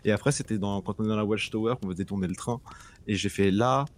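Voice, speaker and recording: A man's voice: fairly low pitch, fast, a little hiss or hum. A man co-hosting a casual gaming podcast chat, talking effusively and gushing with laughter. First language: bilingual French and English. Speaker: podcast co-host.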